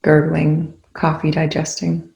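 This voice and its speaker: A meditation instructor speaking in a soothing, unhurried way with long pauses, guiding a body awareness practice. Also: medium pitch, completely flat delivery, vocal fry, a little mellow. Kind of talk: guided meditation. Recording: echoey room.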